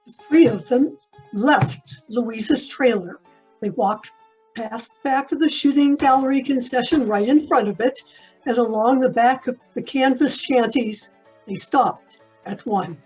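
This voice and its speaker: A woman's voice: on the high side, unhurried, darkish tone, husky, soft background music, low-quality recording. A woman reading scripted descriptive narration of the action.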